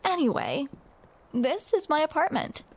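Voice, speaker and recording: Young woman, romantic audio roleplay: teasing and playful, high voice, unhurried. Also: big pitch swings. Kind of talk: scripted romantic roleplay spoken to the listener.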